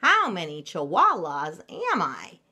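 A woman voicing an excitable, playful young kitten boy in a storybook, with theatrical exclamations. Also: on the low side, moderate pace, slightly rough, voice pushed up, exaggerated voice. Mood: amazed. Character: excitable young Siamese kitten boy playing a chihuahua hero.

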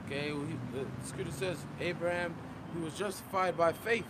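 A man with a raised, high voice reads scripture emphatically on a street corner and quizzes his listeners. Passing traffic is clearly heard around him. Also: fairly even intonation, brisk. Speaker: street preacher reading scripture. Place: outdoors on a city street.

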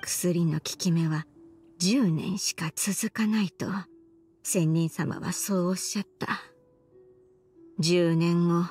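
Slow, husky, remorseful old grandmother. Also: fairly low pitch, fairly even intonation, darkish tone, soft background music. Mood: wistful, reflective. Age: older. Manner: slow, archaic elderly way of speaking. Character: remorseful old grandmother, once a crane, confessing her past. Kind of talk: Japanese game voice acting, dramatic monologue.

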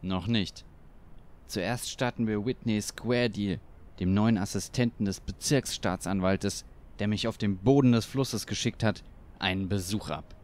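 An actor playing a boastful, vengeful gangster boss in a German audio drama, talking tough. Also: male voice, lowish voice, a little slow, darkish tone, lightly acted. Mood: gloating.

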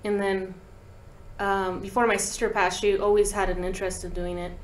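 A woman's voice: medium pitch, flat delivery, normal speed, slight background noise. A woman in her thirties chatting casually as an interview guest, telling anecdotes in slangy language and acting out quoted dialogue. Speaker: guest musician, talk box player. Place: small podcast studio, indoors. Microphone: desk microphone. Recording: roomy studio echo.

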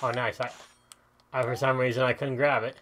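A man's voice: mid-range voice, level tone, brisk, a bit bright, slight background noise. A man giving casual gameplay commentary as a video host, chatting and thinking aloud as he plays. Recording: game music behind the voice. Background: video game music.

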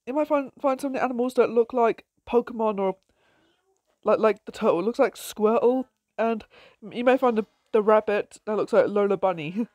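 A woman casually commenting on her own gameplay with a croaky voice, giggling and exclaiming often. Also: fairly high pitch, no echo. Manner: giggly, excitable, frequent laughs and exclamations.

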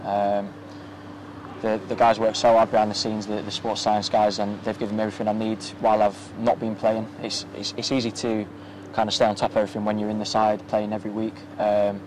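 A young man, a footballer, giving a soft-spoken post-match interview outdoors at a floodlit ground at night, with many ums and restarts, into a handheld mic. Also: fairly low pitch, monotone, brisk, low-quality recording. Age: young adult. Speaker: professional footballer. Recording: outdoor ambience with faint noise.

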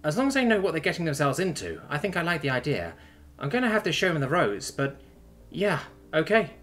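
A man's voice: on the high side, sing-song, fairly quick, a bit bright, pitched above the performer's own voice. A man voicing a tough, foul-mouthed woman who is teasing and tender, in an emotional storytelling read. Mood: frank, tender. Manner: blunt, wry, casual swearing.

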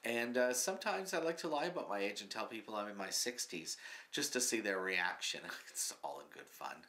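A man in his fifties who answers earnestly and matter-of-factly, filling pauses with ums. His voice sits a little low but sounds bright and forward. He is seated in a small studio. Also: expressive. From Canada. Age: 50s. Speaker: comedy writer, guest. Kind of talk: mock interview, plain answers. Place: small studio with curtain backdrop.

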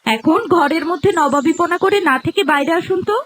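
A woman playing a cruel, bossy young daughter-in-law in a cartoon folk tale, scolding with sharp sarcasm. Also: very high voice, animated, fairly quick. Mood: haughty, scolding.

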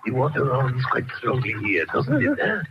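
An actor playing a deranged, gleeful sewer dweller with a French accent in an old-time radio horror play, muttering and bullying theatrically. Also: male voice, mid-range voice, huge pitch swings, moderate pace. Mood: smug, menacing. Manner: theatrical, muttering, punctuates with questioning "eh". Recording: noisy, muffled old radio recording.

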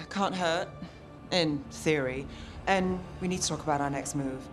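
A cautious, skeptical woman mage in a fantasy game, her words measured and dry. Her voice rings with a long hall reverb. Clear music plays behind her. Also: deep voice. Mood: calm. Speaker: party companion. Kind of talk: video game voice acting.

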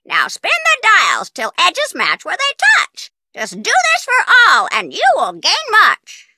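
A woman's voice: high-pitched, wildly swooping pitch, unhurried, pushed and bright, slight background noise, full character voice. A woman voicing a chirpy riddling creature that gives rhymed hints in a video game, sing-song with exaggerated emphasis. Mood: chirpy, teasing.

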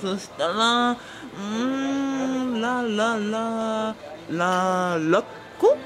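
Woman playing a polite, hesitant, slow-talking boy. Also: fairly high pitch. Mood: thinking hard, sheepish. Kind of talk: light radio drama dialogue. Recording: music behind the voice.

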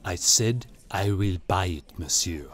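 A man's voice: low-pitched, lively intonation, measured pace, a bit bright, pitched below the performer's own voice, full character voice. A man voicing a plump, haughty art gallery owner who speaks with cold, imperious politeness. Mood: calm, deadly serious. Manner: clipped, coldly polite, imperious.

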